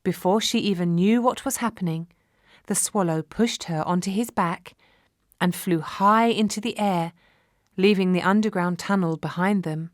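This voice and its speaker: A woman's voice: on the low side, moderate pace, no room sound. Woman narrating a fairy tale, very dry sound. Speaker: storyteller.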